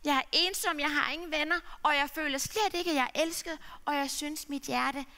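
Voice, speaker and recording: A woman's voice: high voice, steady tone, sharp tone, in a large hall. A woman voicing a lost, ashamed young man who has come home to his father. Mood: despairing. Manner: despairing, self-pitying.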